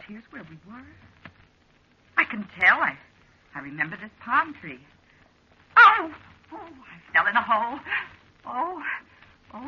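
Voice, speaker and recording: A woman's voice: high-pitched, bright, forceful tone, slightly rough, full character voice. An American actress in a vintage radio drama playing a naive, dithering young woman who speaks in fretful exclamations. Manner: exclamatory, fretful, wide-eyed. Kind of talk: 1940s radio drama acting. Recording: muffled, noisy old radio recording.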